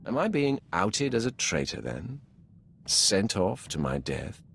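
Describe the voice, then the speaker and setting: A man voicing a commanding, coolly controlled Sith lord in a video game, speaking in a measured, authoritative way. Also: on the low side, big pitch swings, gravelly, a little hiss or hum, full character voice. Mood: dry, guarded.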